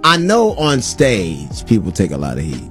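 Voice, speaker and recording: A radio host man, easygoing and quick to laugh, with a voice that rises and falls a lot. He chats casually with his guest. Music plays clearly under the talk. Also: low voice, huge pitch swings, fairly bright tone. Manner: laughs often, quick back-and-forth.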